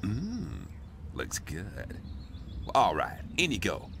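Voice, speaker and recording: An actor playing a sceptical, gruff city guard in a fantasy video game, stern and curt. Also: male voice, deep voice, wildly swooping pitch, extremely slow, a bit bright, a little hiss or hum, exaggerated voice. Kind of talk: video game dialogue acting.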